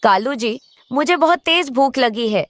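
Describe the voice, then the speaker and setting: A woman's voice: sits high, expressive, a little slow, fairly bright tone. A woman playing a lazy, selfish crow wife in a cartoon, with dramatic delivery. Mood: complaining, selfish.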